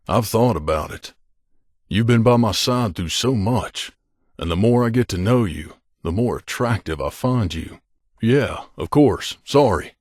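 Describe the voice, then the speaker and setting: A man's voice: fairly low pitch, no room sound, clean studio sound. A man playing an earnest, guarded wasteland drifter and loyal companion in a video game, speaking conversationally. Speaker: voice actor.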